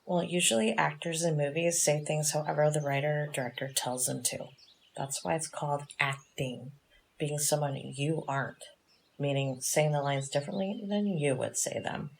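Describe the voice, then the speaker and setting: A woman playing a sensible, protective teenage girl in an audio drama, speaking in a measured, matter-of-fact way. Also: deep voice, fairly even intonation, vocal fry, a little hiss or hum, rough recording.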